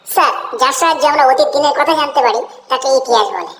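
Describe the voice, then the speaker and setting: Squeaky high schoolboy voice, rapid-fire, eager, echoey room. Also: male voice, a bit bright, a little hiss or hum. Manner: shrill, very fast, eager. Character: eager schoolboy Pocha, keen to answer.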